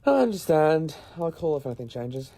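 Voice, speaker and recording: A man playing an analytical, protective professor in an audio drama, measured and musing aloud. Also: on the high side, big pitch swings, mellow. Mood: thoughtful, grave. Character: calm, analytical male professor and protector battling the supernatural.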